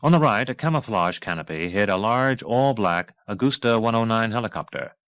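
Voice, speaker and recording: A man with a low voice narrates a novel. His inflection is lively and varied, and the sound is dry, with very little room to it. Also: fairly quick, clean tone, no room sound, dull audio. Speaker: audiobook narrator. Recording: 1980s cassette audiobook.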